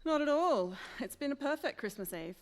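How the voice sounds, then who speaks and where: A woman's voice: high-pitched, expressive, fairly quick. A woman playing a sarcastic, coolly sore woman in a radio play. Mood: icy sarcasm. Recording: echoey hall.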